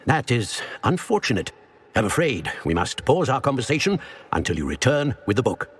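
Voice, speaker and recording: A man playing an aged, grave and courteous wizard professor in a video game, speaking formally with a husky, grainy voice. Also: medium pitch, sing-song, fairly bright tone, exaggerated voice. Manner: formal, measured, old-fashioned. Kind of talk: video game character acting.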